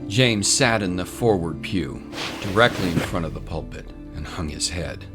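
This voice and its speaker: Deep male storyteller over music. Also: animated, normal speed. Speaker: narrator. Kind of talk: scripted dramatic fiction narration. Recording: music bed under the voice.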